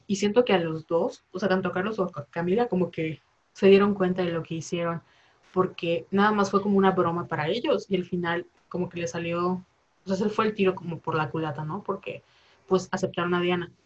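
A woman's voice: lowish voice, steady tone, brisk, dull audio. A woman in her twenties with a Mexican accent, chatting casually and rambling, full of slang and fillers. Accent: Mexican Spanish. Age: 20s. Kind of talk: casual chat.

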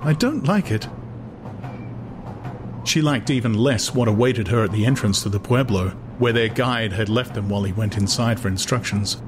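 A man's voice: on the low side, fairly quick, hardly any pauses, background noise. A man narrating a literary audiobook in a dramatized storytelling style. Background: music.

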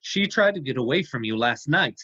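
A man's voice: mid-range voice, animated, normal speed, sharp tone, breathy edge, dull audio. A man playing a tough, dogged, wry amateur sleuth with clipped delivery in vintage-style radio drama. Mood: accusing.

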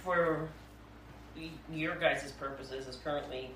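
A woman's voice: low-pitched, steady tone, slow pace, a bit bright. A woman in casual group conversation as a member of a writing group, joking and a little self-deprecating. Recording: noisy echoey room, distant mic.

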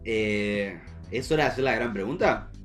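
Low-voiced young Argentine man, slangy. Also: faint music behind. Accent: Argentine Spanish. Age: young adult. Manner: informal, slangy, halting with repeated false starts. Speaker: podcast co-host. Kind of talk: casual chat.

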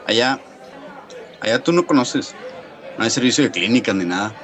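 A male actor plays a practical, considerate engineer. His mid-pitched voice is casual and questioning, as in a radio drama. People murmur in the background. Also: expressive, moderate pace, fairly bright tone, lightly acted. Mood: considerate, hesitant. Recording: café crowd murmur, indoor room.

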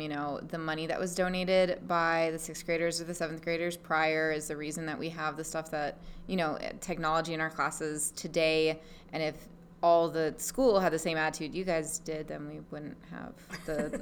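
Woman, thirties, from Hawaii, lower-pitched, wry and chatty, casual podcast guest, some background noise. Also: fairly bright tone. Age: 30s.

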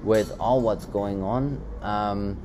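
A man's voice: fairly low pitch, fairly even intonation, slow. A man whose first language is German, co-hosting a casual motivational podcast and talking in loose, rambling sentences full of restarts. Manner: rambling, many restarts and fillers. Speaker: podcast co-host. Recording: background noise.

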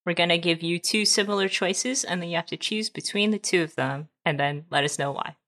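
A female podcast co-host with a fairly low but bright voice. She introduces the guest and runs the show's game in a friendly, lightly scripted way. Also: a little fast. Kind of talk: casual chat.

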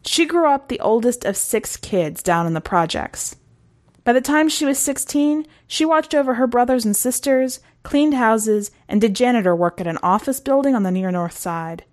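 A woman's voice: fairly high pitch, lively intonation, normal speed, a little mellow. A woman reading a first-person short story aloud in a conversational, wry way. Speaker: story narrator.

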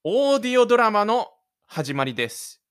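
A man with a fairly high, clean and smooth voice presents a homemade audio drama. He speaks as host and narrator, in a casual radio-show way or reading from his script. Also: big pitch swings, normal speed, a bit bright. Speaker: host and writer of a self-made audio drama.

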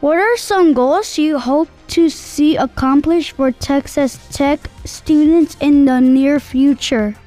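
A woman interviewing a guest with brief, measured questions. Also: high voice, mellow, faint music behind.

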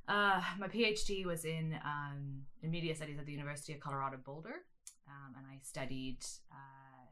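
A woman's voice: rumbling low, a bit bright. A woman in her thirties from South Africa, a media studies teacher answering interview questions at length with many restarts and fillers. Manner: rambling, many restarts and ums.

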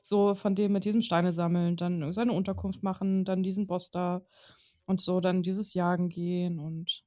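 A woman's voice: fairly low pitch, level tone, moderate pace, dark tone. A young woman chatting casually as a podcast co-host, teasing, laughing often and using slang.

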